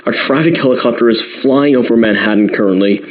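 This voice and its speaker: A man playing a grave New York radio newsman in an audio drama, delivering breaking news in a measured, careful way. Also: medium pitch, natural voice. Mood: urgent interruption. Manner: measured, careful, repeating key details. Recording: slightly dull radio broadcast sound.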